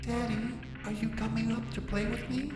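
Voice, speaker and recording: A woman's voice: fairly high pitch, flat delivery, normal speed, close to an everyday voice. Woman as a echoing, distant little ghost girl. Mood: eerie coaxing. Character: eerie playful ghost girl, young child. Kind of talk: dramatized ghost story. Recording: distant, cavernous echo, long reverb, music behind.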